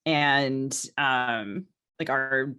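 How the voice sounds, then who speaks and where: A woman's voice: very deep voice, fairly even intonation, extremely slow, bright, forceful tone, clean tone. Woman podcast co-host, hesitant and discursive. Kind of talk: casual podcast conversation.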